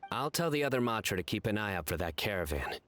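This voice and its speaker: Low-voiced, stern desert lawman. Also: very animated, fairly bright tone. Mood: serious, composed. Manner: serious, measured, restrained. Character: stern, composed male desert law enforcer. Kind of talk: video game character acting.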